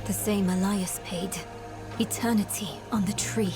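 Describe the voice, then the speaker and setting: A woman's voice: mid-range voice, steady tone, unhurried, music in the background, natural voice. An actress playing a wary young female mage in a game cutscene. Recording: game score behind.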